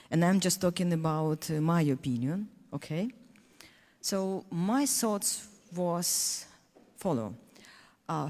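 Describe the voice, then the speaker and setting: A woman from Donetsk with a Ukrainian accent, a native Russian speaker, speaking as a panelist in earnest, halting English full of restarts. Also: fairly low pitch, a little mellow, big-room sound. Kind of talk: panel discussion speech.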